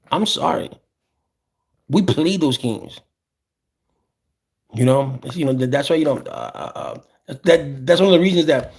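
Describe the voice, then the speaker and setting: Gravelly New man. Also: medium pitch, a little mellow. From South Bronx, New York, USA. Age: around 50. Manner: repeats phrases for emphasis, acts out quotes. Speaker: host. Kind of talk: casual opinionated monologue.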